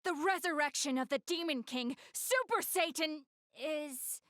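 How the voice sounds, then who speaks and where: A woman playing a grandiose dark-fantasy crusader girl in an anime game, declaiming pompously and theatrically with drawn-out words. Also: high voice, dry recording, studio quality. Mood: grandiose, ominous.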